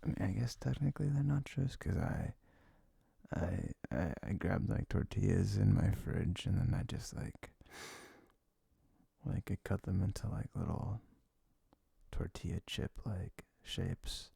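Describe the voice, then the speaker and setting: A young man talking in a casual, unscripted, intimate ASMR style, rambling and halting with a lot of "like", his gravelly voice often sinking toward a whisper. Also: no room sound. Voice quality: low, gravelly, breathy, often dropping near a whisper. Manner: rambling, halting, frequent "like", soft chuckles. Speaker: ASMR audio creator playing a comforting boyfriend.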